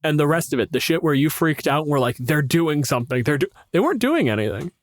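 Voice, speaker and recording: A man's voice: a little high, very animated, fast, no room sound. A man speaking casually as a podcast co-host and game master, with a blunt, teasing, sweary manner.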